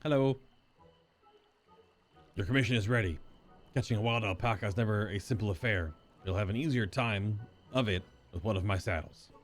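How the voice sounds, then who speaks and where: A man doing a gruff little saddle maker. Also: fairly low pitch, moderate pace, long pauses, soft background music, natural voice. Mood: formal. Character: small gruff male saddle maker creature.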